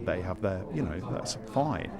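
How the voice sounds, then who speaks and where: A man's voice: sits low, very animated, moderate pace. A man, a pantomime writer, answering interview questions with enthusiasm, rambling and restarting his sentences. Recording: crowd noise around the mic. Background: crowd chatter.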